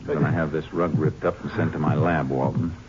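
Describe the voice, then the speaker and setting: Deep voiced tough texas ranger, dry n terse, old radio drama, muffled lofi sound. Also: male voice, fairly even intonation, fairly quick, slight background noise. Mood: coolly menacing. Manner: laconic, dry, quietly menacing. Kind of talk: 1950s radio detective drama acting and narration. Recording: dull lo-fi old radio recording.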